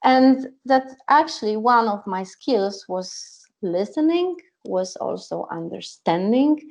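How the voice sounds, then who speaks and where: A woman's voice: fairly high pitch, a little mellow. A woman, a psychologist telling her story earnestly in an online talk. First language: Polish. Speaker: psychologist and coach. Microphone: video call. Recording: lo-fi video call audio.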